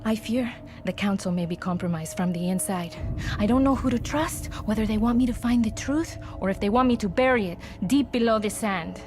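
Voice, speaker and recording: A woman's voice: mid-range voice, a little fast, hardly any pauses, background noise, natural voice. A woman playing an embattled, distrustful field operative in a video game, with a Spanish accent and an earnest, weary, dramatic delivery. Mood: frustrated. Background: music.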